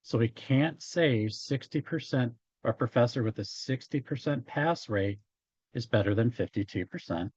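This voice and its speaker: A man giving an informal statistics lecture as a college instructor, his voice a bit hoarse from talking, explaining in a halting way. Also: medium pitch, a little mellow, no echo, highs cut off. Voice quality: slightly hoarse. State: hoarse after hours of talking. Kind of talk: informal statistics lecture, working through examples.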